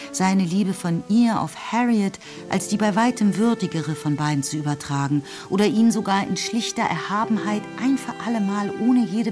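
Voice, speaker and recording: A woman narrator with a deep, low-set voice reads literary prose for a radio drama. Her delivery is composed with a wry edge, and music plays clearly behind her. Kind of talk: scripted literary narration in German radio play.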